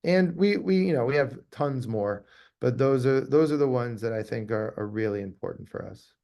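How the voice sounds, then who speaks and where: A man's voice: medium pitch, expressive, moderate pace, vocal fry, darkish tone. A man speaking conversationally as an interviewed law firm owner, emphatic and often repeating his key phrases.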